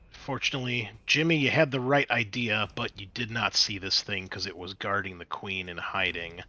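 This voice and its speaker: Man, bright forceful voice, casual game master narration, muttered asides, music in the background. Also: fairly low pitch, no echo. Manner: casual swearing, muttering asides while working the game software. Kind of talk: running an online tabletop roleplaying game, casual. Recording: music behind the voice.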